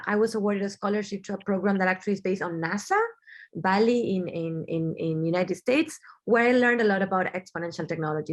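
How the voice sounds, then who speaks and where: A woman in her thirties from Argentina, giving an earnest online talk over a video call, often restarting her phrases and filling pauses. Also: medium pitch. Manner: earnest, halting, many restarts and fillers. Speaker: webinar speaker. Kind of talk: online presentation.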